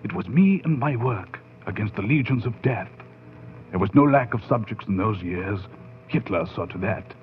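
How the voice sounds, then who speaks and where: An actor playing an obsessed scientist bent on raising the dead, theatrical and brooding with fervent swells, in 1940s radio horror style. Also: male voice, lowish voice, animated, normal speed, close to an everyday voice. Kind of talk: 1940s radio horror acting, dramatic first-person narration. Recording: noisy, muffled phone-like 1940s radio recording.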